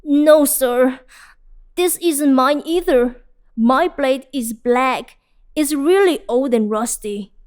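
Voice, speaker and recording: A woman's voice: high-pitched, slow, a little mellow. A woman voicing an honest, humble young lumberjack in a storybook tale, speaking earnestly.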